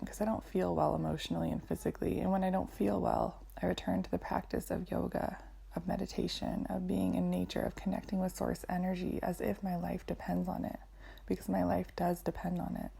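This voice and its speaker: A woman teaching yoga and guided meditation in a soothing, measured way with deliberate phrasing. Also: fairly low pitch, flat delivery, gravelly, mellow, slightly rough.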